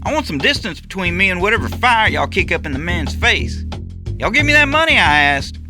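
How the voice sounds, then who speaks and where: A man's voice: high-pitched, very animated, over music, pitched above the performer's own voice. A man voicing a wary, folksy hustler who talks in loose slang, in an audiobook story. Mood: wary, bargaining. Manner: folksy, slangy, drawn-out exclamations.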